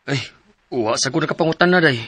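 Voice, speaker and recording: A man's voice: a little high, fast talker, bright, forceful tone, slightly rough, no echo. An actor with a Cebuano Filipino accent playing an agreeable married man in his thirties in a radio drama, polite and earnest. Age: 30s. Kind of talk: radio drama acting and narration.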